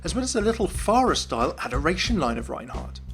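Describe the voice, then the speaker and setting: A man's voice: high voice, very animated, fast talker. A man giving a scripted commentary on video game lore. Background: faint music.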